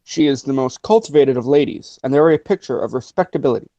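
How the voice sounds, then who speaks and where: A man's voice: mid-range voice, fast talker, mellow, no echo, natural voice. A man playing a pompous, mild, learned country clergyman in a radio play, pedantic and formal, on a slightly dull recording. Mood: pompous, genteel. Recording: dry, slightly dull lo-fi audio.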